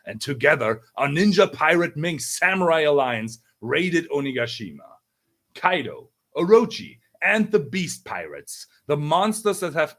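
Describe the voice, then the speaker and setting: A man's voice: a little high, a bit bright, heavily acted. A man reading as an earnest, resolute boy shogun, speaking in formal, declamatory speeches. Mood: triumphant, solemn.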